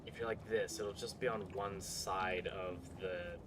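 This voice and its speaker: A man's voice: lowish voice, steady tone, measured pace, noisy background. A man streaming a building game, talking to himself as he works through problems and greeting chat now and then. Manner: mumbling to himself, musing over puzzles, greets chat. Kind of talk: casual live-stream commentary while playing.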